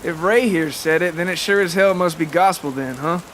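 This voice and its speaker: A bitter, hard-drinking farmer father acted out by a male narrator in an audio drama. He sneers and barks in folksy country phrases, sarcastic and menacing, with a sharp tone over background noise. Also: fairly high pitch, normal speed, pitched above the performer's own voice, close to an everyday voice.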